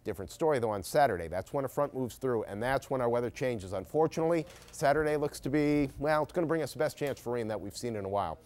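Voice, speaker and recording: A man's voice: medium pitch, lively intonation, fast talker, hardly any pauses, darkish tone. Conversational male TV weather forecaster. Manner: conversational, explanatory. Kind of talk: ad-libbed weather forecast presentation.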